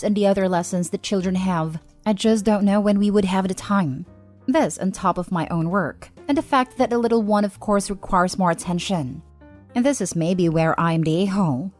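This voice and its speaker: A woman narrator reading online posts and comments aloud in a steady, even delivery. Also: mid-range voice. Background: faint background music.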